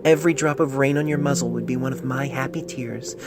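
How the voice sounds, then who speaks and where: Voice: mid-range voice, fairly even intonation, fairly quick, noisy background, pitched above the performer's own voice, close to an everyday voice. An amateur narrator voicing a loving, sentimental pony reading out a love letter earnestly and tenderly, recorded on a phone. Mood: wistful, devoted.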